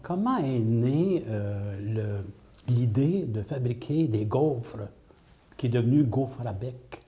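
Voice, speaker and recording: A woman hosting a TV interview, asking questions in a measured, formal way. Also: extremely low pitch, lively intonation, warm tone. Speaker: TV host, interviewer.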